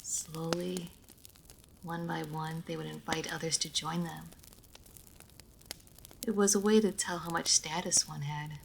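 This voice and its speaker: A woman playing a refined, reserved vampire noblewoman in a roleplay audio drama, speaking formally with measured diction, hesitant pauses and dry playfulness. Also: deep voice, fairly even intonation, creaky, a little hiss or hum, poor audio. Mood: wistful, reverent. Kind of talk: roleplay audio drama, intimate monologue to listener.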